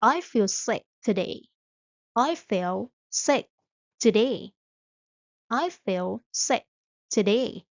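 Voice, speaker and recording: Slow, deliberate woman drilling phrases. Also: on the high side, no echo, highs cut off. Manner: says each phrase several times, slow and evenly paced, with short translations in between. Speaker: English teacher.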